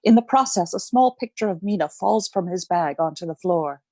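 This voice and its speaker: A woman reading scripted narration for a radio play in a measured storytelling manner. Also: on the low side, expressive, darkish tone, no room sound.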